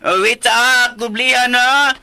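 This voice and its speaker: A man playing a childlike, excitable simple-minded man in a Cebuano Filipino radio drama, stammering and repeating himself. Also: extremely high pitch, slow, a little hiss or hum, exaggerated voice. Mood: excited, eager. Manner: stammering, repetitive babble.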